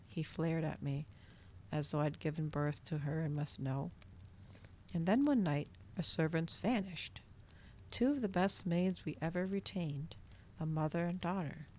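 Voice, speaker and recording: A woman reads a story in a cozy ASMR style. Her voice is low-pitched and noticeably creaky, with a soft delivery that drifts toward whispering. There is faint noise behind her. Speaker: streamer reading a novel aloud.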